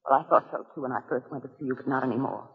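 An actress playing a tense, frightened wife in a 1940s radio drama, speaking urgently. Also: female voice, rumbling low, steady tone, very fast, breathy edge, heavily acted. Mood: tense, fearful. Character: tense, frightened wife of a comic strip artist. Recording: muffled, tinny old radio with long reverb.

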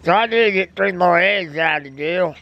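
A man's voice: sits high, moderate pace, lo-fi sound. A man, chatting casually while doing chores at an outdoor chicken coop, easygoing and folksy. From United States. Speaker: homesteader vlogger. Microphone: camera on wheelchair and handheld selfie. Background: faint birds and outdoor ambience.

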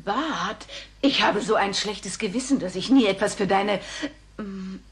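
A woman's voice: medium pitch, measured pace, noisy background, natural voice. An actress playing an earnest, fussing middle-aged mother in a German cartoon dub.

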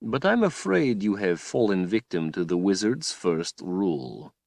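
A man's voice: low-pitched, big pitch swings, measured pace, creaky, darkish tone, dry recording, full character voice. A man voicing a cruel male tyrant who speaks in a silky, taunting, menacing way. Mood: mocking.

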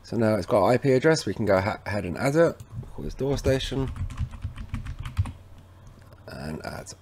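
A man presenting an informal, instructional software walkthrough, talking casually to viewers as he goes. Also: lowish voice, very animated, normal speed, slight background noise. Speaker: tech tutorial presenter. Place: indoors at a computer, voice over screen recording.

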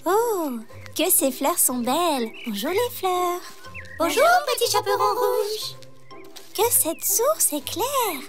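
A woman's voice: very high-pitched, very animated, takes it slowly, exaggerated voice. A woman voicing a trusting, polite little girl in a children's cartoon, with an earnest sing-song delivery. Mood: polite, cheerful. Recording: music playing behind. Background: background music.

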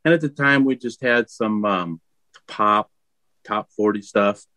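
A man's voice: fairly low pitch, a little slow, sharp tone, no echo. Male musician guest, easygoing storyteller, dry close sound. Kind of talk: casual interview talk.

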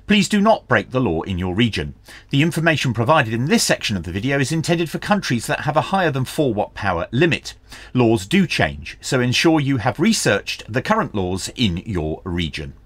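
Animated man giving a hands-on tech review. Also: mid-range voice, moderate pace, no room sound. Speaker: radio review channel host. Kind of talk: hands-on product review and tutorial narration. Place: small indoor home workshop.

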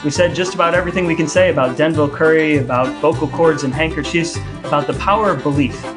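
A man with a slightly high voice hosts a podcast. He talks casually and conversationally, with a little music underneath. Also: fast. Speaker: podcast host. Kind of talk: casual podcast talk between brothers.

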